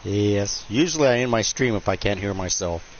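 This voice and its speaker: A man's voice: lowish voice, expressive, normal speed. A man hosting a live game stream, chatting casually in a nasal, joking, self-deprecating way. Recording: dull lo-fi stream mic with faint hiss.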